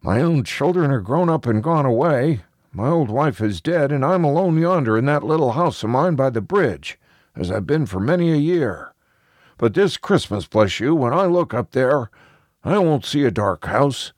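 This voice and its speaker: A man's voice: medium pitch, animated, normal speed, dry recording, studio quality, pitched above the performer's own voice, lightly acted. A man voicing a cheerful, lonely old country neighbour in a storybook, rambling along in folksy, old-fashioned speech. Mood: cheerful, wistful.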